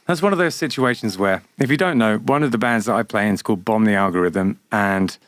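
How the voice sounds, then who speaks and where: A man's voice: fairly low pitch, fairly quick, no room sound. A man hosting a podcast, chatting in a laid-back, rambling way and swearing casually. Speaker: podcast host. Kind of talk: casual chat. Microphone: studio mic.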